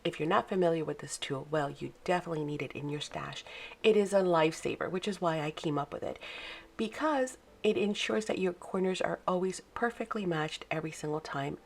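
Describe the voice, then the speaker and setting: Craft host: woman, low froggy morning voice, chatty how-to delivery, some vocal fry. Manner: chatty, friendly step-by-step instruction with fillers. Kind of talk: casual craft tutorial. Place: home craft desk, early morning.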